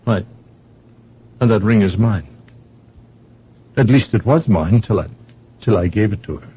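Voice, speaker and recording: A man playing an anguished, bewildered lover in a radio drama, halting and impassioned, with a husky voice. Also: fairly low pitch. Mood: pleading, confused. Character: anguished, bewildered lovestruck man, well-born. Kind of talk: 1970s radio drama acting. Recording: dull old radio recording.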